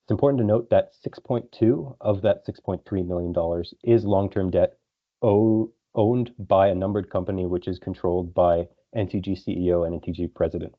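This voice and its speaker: A man's voice: deep voice, fairly even intonation, fairly quick. A man presenting financial results as a company executive on an earnings call, in a businesslike, explanatory way. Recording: dull lo-fi conference call audio.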